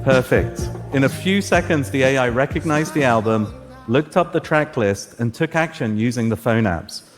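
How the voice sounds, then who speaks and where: A man's voice: expressive, moderate pace, over music. Upbeat man giving a keynote. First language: Persian. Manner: upbeat, scripted pitch with light jokes. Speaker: stage demo presenter. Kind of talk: keynote presentation. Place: large conference hall stage. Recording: long reverb of a large hall.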